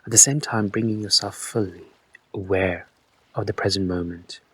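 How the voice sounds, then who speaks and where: A man with a fairly low, husky voice guides a meditation. His delivery is soft and breathy, with gentle, unhurried instructions addressed reassuringly to the listener. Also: fairly even intonation.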